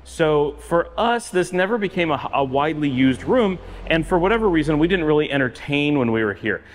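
A man's voice: fairly high pitch, animated, fairly quick, a little hiss or hum. A middle-aged man vlogging a walkthrough of an empty house, chatting loosely with lots of fillers, filmed on a handheld camera from the street into echoey rooms. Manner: chatty, rambling, many "uh" fillers. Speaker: vlogger giving a house tour. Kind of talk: casual walkthrough vlog. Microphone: handheld camera held at arm's length.

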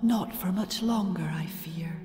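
A woman plays a female forest spirit in an audio drama, seductive and quietly menacing. Her voice is low and breathy, drawn out slowly over music. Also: natural voice. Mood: seductive, taunting. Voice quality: breathy, whispering. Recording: long echoing hall reverb.

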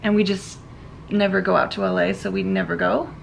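A woman's voice: medium pitch, flat delivery, a little fast, creaky, poor audio. A woman in her thirties chatting playfully to her viewers on a handheld camera, holding forth about dinner. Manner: playful, bubbly, addresses viewers directly. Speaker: vlogger. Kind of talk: casual vlog chat to camera. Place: busy restaurant, indoors. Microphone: handheld camera at arm's length. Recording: restaurant noise behind. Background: music and crowd chatter.

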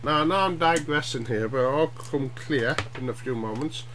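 A middle-aged man giving an informal, halting hobby demonstration at home, often checking in with short tag questions. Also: medium pitch, fairly bright tone. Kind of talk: informal explainer vlog. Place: small cluttered room at home, indoors. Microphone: webcam at arm's length. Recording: noisy webcam audio with hum.

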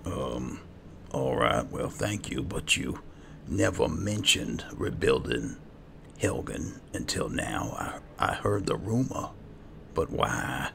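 A man's voice: extremely low pitch, big pitch swings, slow, creaky, a bit bright, a little hiss or hum. A man doing casual first-person role-play commentary over a game, muttering in a hesitant, laid-back way. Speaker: gameplay host and role-player. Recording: game music under the voice.

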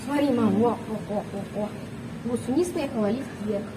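High excited female voice chatting, echoey, away from mic, noisy. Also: normal speed, far from the mic. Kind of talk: casual family chat.

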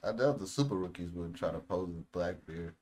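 A man's voice: sits low, animated, a little fast, a little mellow. A young American man giving casual, slangy reactions as co-host of a video. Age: young adult. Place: small indoor room.

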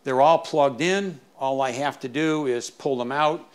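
A man giving an informal, explanatory tour of his small garage workshop as a woodturner, haltingly repeating words as he explains. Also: mid-range voice, clear, resonant tone.

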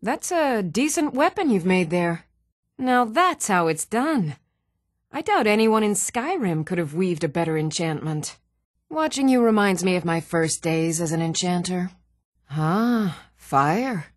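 Even-tempered fantasy townswoman, lively and dry. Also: on the low side, measured pace, dry recording. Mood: impressed. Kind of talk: video game character dialogue.

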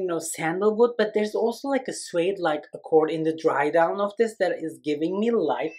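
A man in his thirties from Turkey, giving an animated, expressive perfume review to camera in a small room. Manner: animated, expressive, lively swings in pitch and volume. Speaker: fragrance reviewer. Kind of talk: casual video review. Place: small indoor room against a plain wall.

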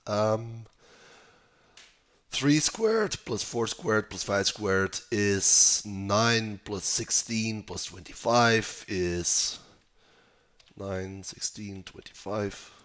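Slow, raspy young man thinking aloud. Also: fairly low pitch, fairly bright tone. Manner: mutters, hesitates, trails off while thinking. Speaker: puzzle video host. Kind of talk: casual think-aloud while solving a crossword. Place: small room indoors.

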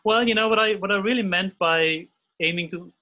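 A man's voice: high-pitched, brisk, pushed and bright. A man in his forties from Austria with an Austrian German accent, talking as a guest in a casual interview over a video call, hesitant, with many fillers and restarts. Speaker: guest illustrator. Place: home room at a desk. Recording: dull video call audio.

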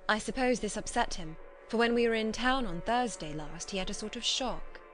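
Modest young Victorian lady as a woman narrator plays her, demure, well-mannered, gentle, storybook reading with music behind. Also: fairly high pitch, moderate pace, natural voice. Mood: modest, anxious.

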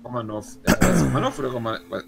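A man's voice: mid-range voice, animated, rapid-fire, gravelly, heavy background noise. A man taking part in a tabletop role-playing game, switching between in-character speech and casual chat.

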